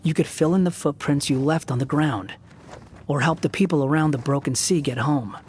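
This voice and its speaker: A man's voice: a little high, normal speed, a little mellow, slight background noise, close to an everyday voice. A man voicing an aloof, prickly young man in a video game, curt and dry but grudgingly kind. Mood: dry, matter-of-fact.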